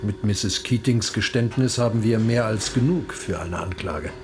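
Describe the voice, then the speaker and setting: An actor playing a sly, needling police interrogator in a radio crime drama, ironic and mock-casual. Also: male voice, lowish voice, moderate pace, noisy background, close to an everyday voice. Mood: sly, probing.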